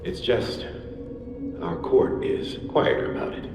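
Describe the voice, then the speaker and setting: An actor playing a menacing, cultured older leader of a secret society in a game cutscene, measured, condescending and coolly threatening. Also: male voice, music in the background, close to an everyday voice. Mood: smug, composed. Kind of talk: dramatic video game cutscene acting. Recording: echoey hall with long reverb.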